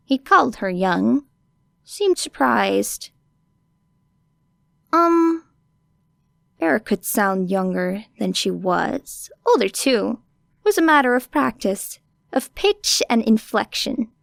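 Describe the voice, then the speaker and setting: Unhurried, creaky-voiced woman narrating fiction. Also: a little high, big pitch swings, a bit bright, dry recording, clean studio sound. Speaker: audiobook reader. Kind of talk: expressive scripted fiction narration.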